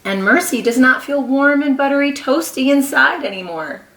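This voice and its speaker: A woman's voice: high voice, distant mic. Echoey woman teacher reading to kids. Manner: encouraging, expressive, pauses to question children. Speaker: kindergarten teacher. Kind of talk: read-aloud storytelling lesson for young children. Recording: echoey room.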